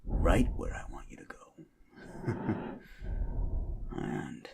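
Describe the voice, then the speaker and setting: A man playing a gruff, wisecracking demon-born monster hunter in an action horror audio roleplay, talking in blunt tough-guy slang. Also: extremely slow, very noisy. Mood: grim, determined. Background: music.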